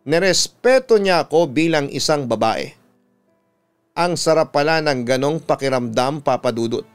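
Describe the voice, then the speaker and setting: A man with a Filipino accent, a radio announcer reading a scripted first-person story in a measured, dramatic storytelling delivery. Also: on the high side, animated, clean tone. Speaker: radio announcer and host reading listener stories. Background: instrumental music.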